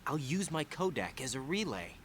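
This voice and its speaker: A calm young male operative from a video game. He speaks quietly and evenly, and sounds reassuring. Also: mid-range voice, moderate pace, slight background noise.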